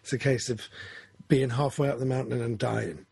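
Husky man rambling earnestly. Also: medium pitch, steady tone, normal speed, darkish tone, no echo. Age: 40s. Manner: rambling, self-correcting, earnest. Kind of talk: casual chat.

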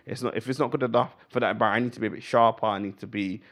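A British man, a comedian guest, chatting casually and telling anecdotes in animated, slangy speech. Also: fairly low pitch, rapid-fire.

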